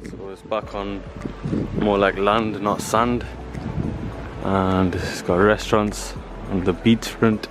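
A man's voice: fairly low pitch, flat delivery, slow pace, loud music behind the voice. A young English man casually vlogging on sunny seaside streets, talking into a camera held at arm's length. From England. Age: young adult. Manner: easygoing, chatty, speaks simple English to locals. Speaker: travel vlogger. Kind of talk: casual vlog chat. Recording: loud street and wind noise outdoors.

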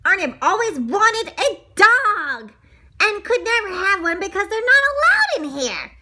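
A woman's voice: extremely high pitch, sing-song, unhurried, bright, forceful tone, pitched above the performer's own voice, heavily acted. A woman playing a fussy, sentimental man in a children's story, speaking in an earnest, exclamatory way. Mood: excited. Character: fussy, fond male donut owner, sentimental, hungry.

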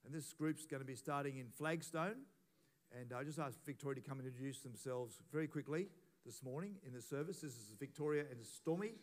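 A man, a pastor, leading a church service in a relaxed, informal way and asking quick questions. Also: medium pitch. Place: church hall.

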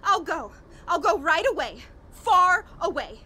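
An actress playing a frantic, haunted woman on the edge of madness in a horror radio play, halting and overwrought. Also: very high voice, big pitch swings, sharp tone, heavily acted. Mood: desperate, afraid. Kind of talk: 1940s radio horror acting, dramatic monologue.

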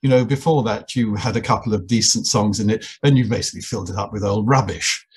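Husky older Englishman telling wry stories. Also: fairly low pitch, quick pace. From England. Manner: wry, self-deprecating, anecdotal with many restarts. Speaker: guest, anthropologist and writer. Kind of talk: relaxed storytelling interview.

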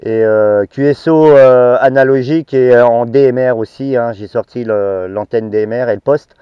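A man's voice: medium pitch, moderate pace, clear, resonant tone, no echo. A middle-aged man chatting casually as an amateur radio operator, hesitant, in short choppy phrases with little tags. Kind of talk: casual radio contact chat. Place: inside a parked car. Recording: dull, muffled, dry sound.

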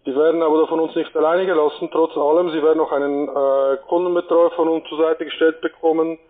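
Male phone sales agent, formal and explanatory, slightly raised pitch, narrow telephone sound. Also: flat delivery, brisk. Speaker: call centre sales agent.

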